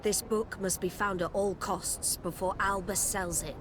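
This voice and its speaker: A formal, authoritative naval commander in a game cutscene, acted by a woman. Her voice is medium-pitched, commanding yet courteous. Clear outdoor noise is behind her. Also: fairly even intonation, unhurried, natural voice. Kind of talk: video game cutscene dialogue.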